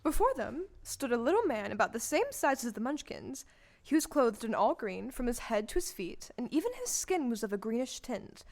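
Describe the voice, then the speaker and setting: A woman's voice: fairly high pitch, big pitch swings, moderate pace, darkish tone. A woman narrator reading scripted storybook narration for an audio play.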